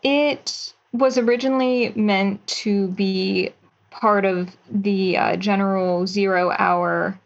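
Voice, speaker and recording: A woman's voice: mid-range voice, steady tone, takes it slowly, vocal fry, dull audio. A woman in her twenties, a writer and actress, talking casually and cheerfully as a guest in an interview. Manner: chatty, upbeat, with light laughter.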